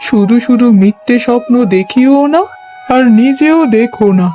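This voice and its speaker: A man's voice: very high voice, moderate pace, mellow, faint music behind. A man voicing a mild, yielding husband in a cartoon, earnest and placating in manner. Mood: cautioning. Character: mild, yielding adult husband and dutiful elder son. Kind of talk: cartoon voice acting.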